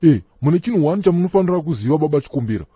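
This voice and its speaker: A man's voice: on the high side, lively intonation, racing, dark tone, husky, no room sound, clean studio sound. An actor voicing a Zimbabwean cartoon church elder who lectures his friend in an earnest tone. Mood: lecturing. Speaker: cartoon character, friend of Chikombera. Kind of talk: comic cartoon dialogue.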